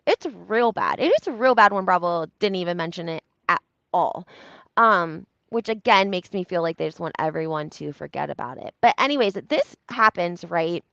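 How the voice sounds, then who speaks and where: A woman's voice: fairly low pitch, expressive, normal speed, gravelly, sharp tone, no echo. A woman giving casual gossip commentary as a video host, speaking emphatically and often repeating herself for effect, on a slightly lo-fi recording. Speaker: YouTube host recapping reality TV. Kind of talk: casual gossip commentary, talking to live audience.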